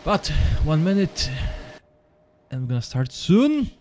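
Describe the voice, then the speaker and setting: A man's voice: fairly high pitch, big pitch swings, a little mellow, dry recording. Polish-accented man commentating sim racing. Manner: halting, repeats words, apologetic. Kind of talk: live esports race commentary.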